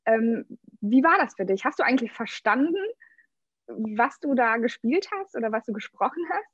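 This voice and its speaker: A woman interviewing in a friendly, chatty way, often pausing with hesitant fillers. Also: fairly high pitch, expressive, slightly rough, dry recording, dull audio. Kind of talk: casual interview questions.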